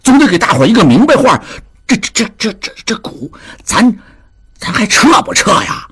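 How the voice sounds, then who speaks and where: A man's voice: high-pitched, very animated, normal speed, fairly bright tone, slightly rough, pitched above the performer's own voice, heavily acted. A man voicing an anxious middle-aged man who pleads and stammers.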